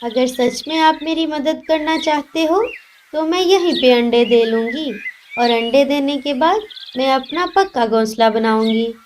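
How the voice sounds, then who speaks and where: Woman voicing a high, sweet cartoon mother sparrow. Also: unhurried, a little hiss or hum. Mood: trusting. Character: gentle, polite, trusting mother sparrow. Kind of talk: Hindi children's cartoon voice acting.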